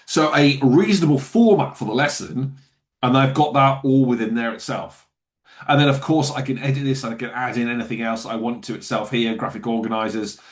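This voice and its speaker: A man walking viewers through software in a webinar, talking casually and loosely with fillers and self-corrections. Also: fairly low pitch. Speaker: software trainer. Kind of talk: webinar screen-share walkthrough.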